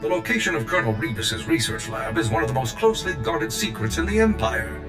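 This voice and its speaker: A loyal combat droid with a low voice, proud and zealous. Every word is formal and precise, like a military report in a video game. The sound is echoey, with music behind it. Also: male voice, sing-song, fast, fairly bright tone. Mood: earnest, inquisitive. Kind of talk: scripted video game acting. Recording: game cutscene with echo and music.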